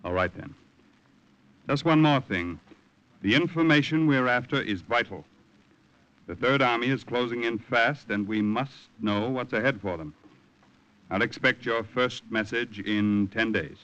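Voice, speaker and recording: An actor playing a sober, authoritative American colonel in a 1950s radio drama, speaking in a measured military manner. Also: male voice, mid-range voice, fairly bright tone, clean tone, close to an everyday voice. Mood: grave. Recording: dull vintage radio recording.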